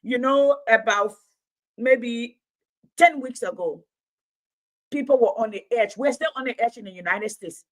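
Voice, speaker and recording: Woman near sixty, fairly high voice, fiery commentator who preaches in rhetorical runs. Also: unhurried, pauses often, low-quality recording. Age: 50s. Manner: preaching, repetitive rhetorical build-ups. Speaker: commentator and Pan-Africanism teacher. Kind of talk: impassioned livestream commentary.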